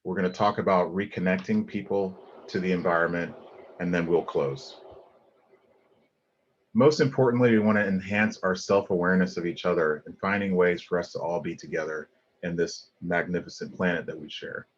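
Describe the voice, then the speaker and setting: A man's voice: low-pitched, normal speed, muffled recording, slight background noise. A middle-aged man from Liberia, giving an earnest design lecture over slides with many ums and restarts. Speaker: guest lecturer, landscape architect.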